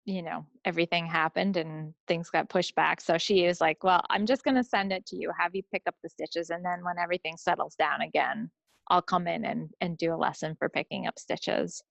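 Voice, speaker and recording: A woman's voice: lowish voice, steady tone, a little fast, gravelly, a bit bright, no echo. A woman co-hosting a craft podcast, chatting casually with easygoing, hesitant phrasing. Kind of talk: casual chat about projects. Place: recorded at own end of a remote video call.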